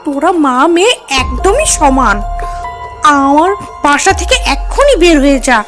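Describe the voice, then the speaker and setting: A spiteful animal auntie from a children's cartoon, very high in pitch. She scolds with haughty scorn, with clear jingly music behind. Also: sing-song, normal speed. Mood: angry, scornful.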